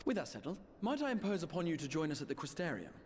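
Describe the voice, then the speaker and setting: An actor playing a formal, eloquent gentleman negotiator in a fantasy video game, with measured, courteous phrasing. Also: fairly high pitch, sing-song, slight background noise. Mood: courteous, earnest. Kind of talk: video game cutscene acting.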